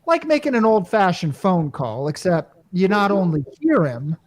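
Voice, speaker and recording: A brash salesman played by an actor in a radio comedy, with a very animated, swooping delivery. The patter is slangy and wry, that of a worn-down working-class wisecracker. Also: medium pitch, normal speed, natural voice. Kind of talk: hobby radio play acting.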